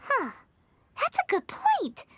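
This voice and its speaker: A woman voicing a tiny, chirpy fairy girl companion in a video game, with a squeaky, childlike, exclaiming delivery. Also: huge pitch swings, slow, full character voice. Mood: curious, perky. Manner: childlike, exclaiming, refers to self in third person. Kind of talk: video game character dialogue. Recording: loud game music behind. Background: loud tinkling game music.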